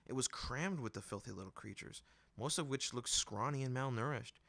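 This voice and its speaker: A man giving an expressive scripted narration of fantasy fiction, as an audiobook reader. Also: medium pitch, moderate pace, creaky, no room sound.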